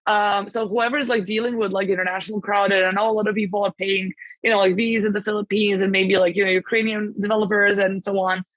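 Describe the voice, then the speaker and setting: A woman whose first language is Serbian, talking as an interview guest in quick, rambling speech full of "like" and restarts. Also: mid-range voice, flat delivery, a bit bright, dry recording, lo-fi sound. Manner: fast, rambling, many fillers and restarts. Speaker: guest, translation agency founder. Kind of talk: casual podcast interview.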